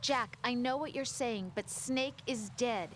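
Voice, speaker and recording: A gentle young mission analyst, voiced by a woman in a game cutscene, who sounds concerned. Her voice sits fairly high, with a faint hiss behind it. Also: a little slow, close to an everyday voice. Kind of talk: video game cutscene acting.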